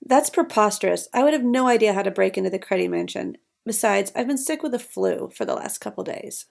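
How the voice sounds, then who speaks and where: A woman's voice: medium pitch, sing-song, fairly quick, gravelly, a little mellow. A woman playing a haughty, scheming society lady in an audio drama, with an indignant, defensive manner.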